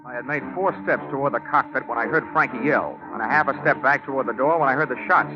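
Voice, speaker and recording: An American man playing a wisecracking, hard-boiled insurance investigator in an old radio detective drama, with a wry, terse, deadpan delivery. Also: mid-range voice, fast, close to an everyday voice. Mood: wry narration. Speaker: lead actor and narrator in a detective radio drama. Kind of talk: 1940s-50s radio acting, first-person scripted narration. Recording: muffled vintage radio broadcast with music.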